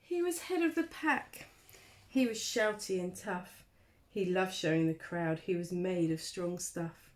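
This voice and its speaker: A woman reading a children's storybook aloud like a primary school teacher, expressively, with dramatic pauses and emphasis. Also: lowish voice.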